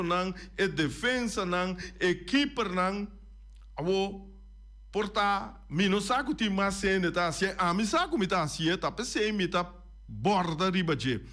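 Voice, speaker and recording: A man with a high voice talks slowly and deliberately as a radio host. He drives his points home with repetition, running through lists item by item. The sound is a close studio microphone. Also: fairly bright tone. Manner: emphatic, repeats and lists points. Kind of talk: radio talk commentary.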